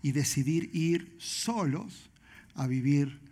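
A man with a Rioplatense accent, a pastor interviewing a guest in church, asking questions with slow drawn-out phrasing. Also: a little high, animated, warm tone.

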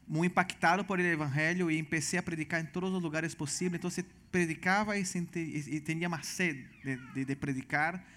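A man from Venezuela with a Brazilian Portuguese accent, earnestly telling his testimony as a missionary guest, halting and restarting often. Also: fairly high pitch, fairly even intonation, a bit bright. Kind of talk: personal testimony in church interview.

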